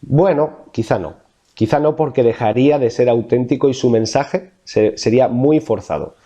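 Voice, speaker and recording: A man's voice: mid-range voice, normal speed, mellow, dull audio. A man from La Mancha with a Castilian Spanish accent, a vocal coach in his thirties explaining diction exercises in an interview, thoughtful, with many fillers and restarts. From Spain, Castilla-La Mancha.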